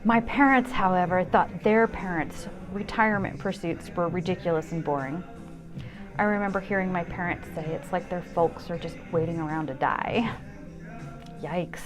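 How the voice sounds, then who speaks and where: A woman in her fifties narrates a personal essay with a rather low voice. She is wry and candid, reading as the host of her own show, with music clearly playing behind her. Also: moderate pace. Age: 50s.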